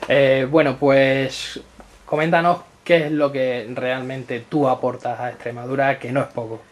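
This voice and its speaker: A man's voice: medium pitch, steady tone, measured pace. A man conducting an informal interview, putting his questions politely and simply. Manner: polite, prompting with short questions.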